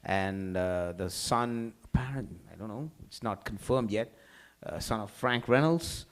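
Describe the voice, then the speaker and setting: A man's voice: on the low side, measured pace. A man talking casually with his cast, often repeating himself in agreement. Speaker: table read cast member. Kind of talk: casual chat.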